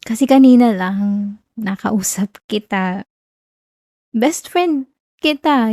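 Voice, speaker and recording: Casting: woman to play shy new girlfriend, Filipino accent, ASMR roleplay. Soft, warm tone, unhurried delivery, intimate and playfully flustered. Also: on the high side, creaky, dry recording, clean studio sound. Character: shy, flustered young woman teasing her new boyfriend. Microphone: close, dry ASMR microphone.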